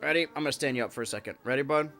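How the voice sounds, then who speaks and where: A man with a stuffed-up nose hosts a casual live stream, joking with chat and talking to his cats. His voice comes across bright and forward. Soft music plays faintly underneath. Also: mid-range voice, racing.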